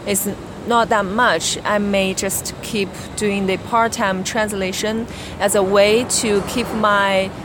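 Young woman, Chinese accent, non-native speaker, hesitant interview answers, steady mid pitch, noisy background. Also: slow pace. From China. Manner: hesitant, many fillers and restarts. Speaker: interviewee, graduate student. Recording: background noise.

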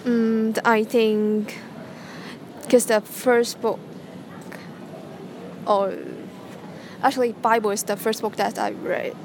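A young woman with a Hong Kong accent speaks English as a second language, her first tongue Cantonese. She answers slowly and tentatively, humming and restarting often. Clear noise hums behind her voice. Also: a little high, flat delivery, darkish tone. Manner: hesitant, many restarts and hums. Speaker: interviewee, student. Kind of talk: casual interview answers. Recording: noticeable background noise.

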